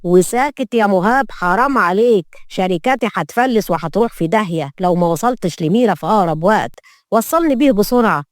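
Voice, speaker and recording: A woman's voice: medium pitch, lively intonation, quick pace, no room sound, studio quality, lightly acted. A woman with an Egyptian accent voicing a weary, impatient male businessman who complains, in an audio story. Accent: Egyptian Arabic. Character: harried, frustrated wealthy businessman, impatient adult grandson.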